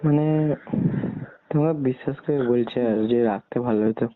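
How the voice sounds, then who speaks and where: A young man, talking casually and haltingly on a private call, circling around his questions. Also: mid-range voice. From West Bengal, India. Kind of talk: casual private phone conversation. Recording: narrow tinny phone line with noise.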